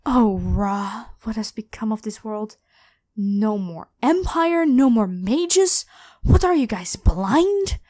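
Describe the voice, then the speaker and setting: A woman's voice: a little high, animated, slow pace, mellow, no room sound. A woman playing an evil, haughty ancient Egyptian pharaoh who makes theatrical demands in a scripted ASMR roleplay. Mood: dismayed, scornful. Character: evil, imperious ancient Egyptian pharaoh queen awakened from slumber.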